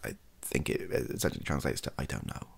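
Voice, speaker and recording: A young British man chatting softly and casually in an ASMR style, rambling and hesitant, with a gravelly, husky voice. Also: extremely low pitch, very animated, quick pace. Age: young adult. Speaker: content creator. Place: small cluttered bedroom, indoors.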